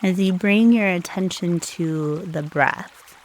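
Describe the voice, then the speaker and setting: A woman leading a guided meditation in a soothing, unhurried way, leaving long pauses between short phrases. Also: on the low side, low-quality recording.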